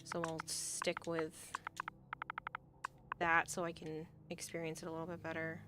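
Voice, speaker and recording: A woman streaming a game and chatting casually, thinking aloud as she plans, her voice going hoarse. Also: sits low, completely flat delivery, measured pace, loud music behind the voice. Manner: thinking aloud, hesitant, soft at times. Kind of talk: casual game livestream chat.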